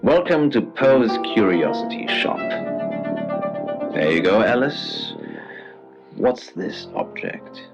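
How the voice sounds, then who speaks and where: An actor playing an eerie, theatrical male host of a spooky late-night radio show, speaking deliberately. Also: mid-range voice, sing-song. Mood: eerie, showy. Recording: dull lo-fi radio sound over music. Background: background music.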